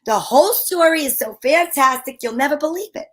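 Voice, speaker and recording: A woman's voice: high-pitched, animated, brisk. A woman playing a brash, slangy, wry female private eye in an old-time radio detective drama. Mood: wry, impatient.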